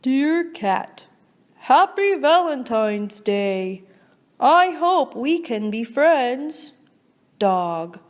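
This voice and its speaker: A woman's voice: high-pitched, sing-song, drawn-out words, exaggerated voice. A woman voicing a friendly, lonely male dog from a storybook, earnestly reading out his letter. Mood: earnest, hopeful. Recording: long hall-like reverb.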